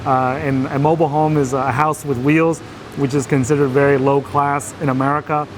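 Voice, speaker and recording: A man's voice: medium pitch, flat delivery, moderate pace, slight background noise, low-quality recording. A man from Vietnam, a guest telling his life story in a casual interview, with many fillers and restarts.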